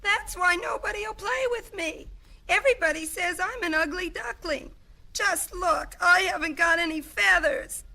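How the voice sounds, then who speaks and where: A woman's voice: very high voice, measured pace, gravelly, sharp tone, exaggerated voice. A woman voicing a sad, lonely young boy duckling in a children's cartoon, with a childlike quacking voice and a plaintive manner. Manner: plaintive, woeful. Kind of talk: children's comedy cartoon acting.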